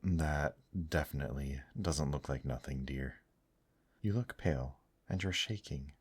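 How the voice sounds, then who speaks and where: A man playing a gentle, protective male demon in an intimate ASMR roleplay, speaking softly, tenderly and reassuringly to the listener. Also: very deep voice, slightly rough, dry recording, exaggerated voice. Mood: concerned. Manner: soft, tender, reassuring, unhurried.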